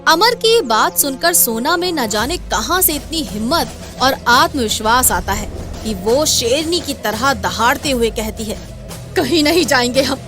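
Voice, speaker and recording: A woman's voice: fairly high pitch, lively intonation, unhurried. A woman telling a dramatic scripted tale as its narrator. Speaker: storyteller. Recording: clear background music under voice.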